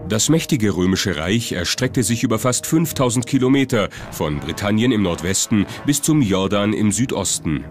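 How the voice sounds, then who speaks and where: Deep-voiced documentary narrator, man, over music. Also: expressive, normal speed, hardly any pauses. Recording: music behind the voice.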